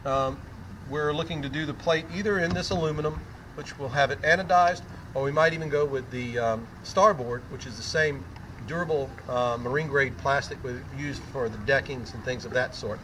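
A man's voice: mid-range voice, normal speed, fairly bright tone, slight background noise. An older man standing in shallow water outdoors, explaining a boat kit in a casual, conversational way with frequent fillers. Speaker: product demonstrator. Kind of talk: casual product demonstration. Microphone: camera mic at medium distance.

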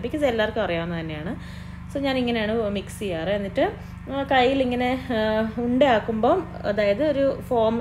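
A young woman with a Malayali accent, chatting through a home cooking vlog in a kitchen and mixing English words into her speech. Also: mid-range voice. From Kerala. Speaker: cooking vlogger. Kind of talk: casual cooking voice-over. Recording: noisy background.

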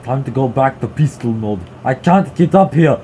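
A man quietly commenting to himself while playing a video game in a living room, filmed on a phone. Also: mid-range voice, lively intonation, moderate pace, a little hiss or hum. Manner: mutters to himself between shouts. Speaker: gamer. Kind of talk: casual gameplay commentary. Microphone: phone filming the screen.

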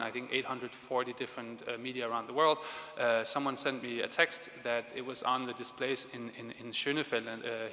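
A man in his thirties giving a conference talk on stage, halting, with many fillers and restarts. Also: mid-range voice, pushed and bright. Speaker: conference speaker. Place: large hall, on stage. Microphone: stage microphone. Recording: reverberant hall sound.

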